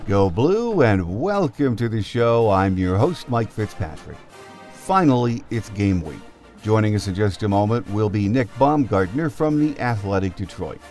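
A man's voice: fairly low pitch, big pitch swings, moderate pace, a little mellow, soft background music. A man hosting a sports podcast, delivering a prepared opinion monologue as a devoted fan. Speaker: podcast host.

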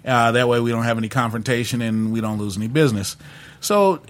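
A man co-hosting a casual podcast chat, telling stories in a halting, stammering way with many repeats. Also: mid-range voice. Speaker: podcast co-host.

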